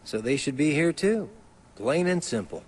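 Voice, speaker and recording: A man's voice: on the high side, big pitch swings, normal speed, breathy edge. An actor playing a brash, impatient young man in a video game, with a slangy, grumbling way of talking. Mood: exasperated.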